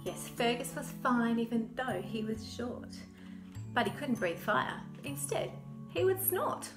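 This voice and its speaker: Animated woman reading a children's story. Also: on the high side, unhurried. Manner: dramatic, playful delivery. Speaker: author reading own book. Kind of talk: expressive children's storybook narration. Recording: roomy echo, music behind.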